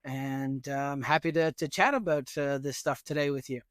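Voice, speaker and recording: A middle-aged man from Newfoundland, Canada, answering interview questions as an education professor in an earnest, animated way with frequent fillers. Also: mid-range voice, moderate pace, clear, resonant tone, no echo, studio quality.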